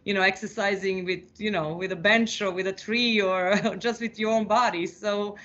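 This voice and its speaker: A man's voice: sits high, fairly even intonation, normal speed, sharp tone, lo-fi sound. A man moderating a webinar panel, thoughtful, often repeating words while he searches for them.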